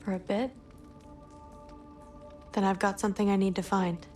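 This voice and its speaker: A woman's voice: mid-range voice, flat delivery, normal speed, a little mellow, faint music behind, close to an everyday voice. An actress playing a cheeky, brash young warrior woman in a game. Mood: breezy. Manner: breezy, cheeky.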